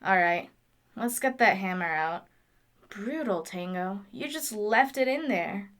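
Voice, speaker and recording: A woman's voice: mid-range voice, animated, a little slow, a bit bright. A woman playing a nerdy, caring young engineer in an audio drama, talking in a casual, exclamatory, teasing way.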